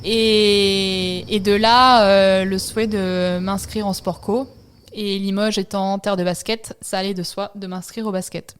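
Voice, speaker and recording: A woman in her thirties from France, telling her own story in an interview, relaxed and reflective with many hesitant pauses. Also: mid-range voice, clean tone. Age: 30s. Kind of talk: personal testimony interview.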